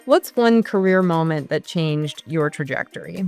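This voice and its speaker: A woman's voice: sits low, expressive, measured pace, a little mellow, smooth, soft background music. A woman hosting an interview, asking conversational questions and responding in an affirming, encouraging way. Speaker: interviewer, host.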